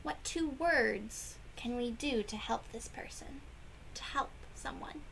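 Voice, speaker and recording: A woman reading a picture book aloud to young children, with expressive emphasis and questions to her listeners. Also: a little high, takes it slowly, gravelly, whispered, rough recording. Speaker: children's read-aloud host.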